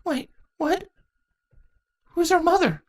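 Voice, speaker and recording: A woman's voice: very high-pitched, very animated, slow pace, fairly bright tone, voice pushed up, full character voice. A woman voicing a frightened, crying teenage female changeling in a storybook reading. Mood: startled. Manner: tearful and desperate.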